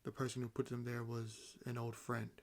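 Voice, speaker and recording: A man voicing an ancient vampire in an audio roleplay, nonchalant and amused, speaking with wry condescension and theatrical pauses. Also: mid-range voice, monotone, lightly acted. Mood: explaining calmly. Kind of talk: roleplay audio acting, addressing the listener.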